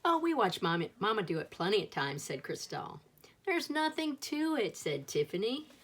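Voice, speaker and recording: A woman's voice: mid-range voice, sing-song, normal speed, fairly bright tone, slight background noise. Lady reader doing a teasing kid sister in a picture book, sure of herself, breezy. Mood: breezy, coaxing. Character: confident, teasing young twin sisters playing hairdresser.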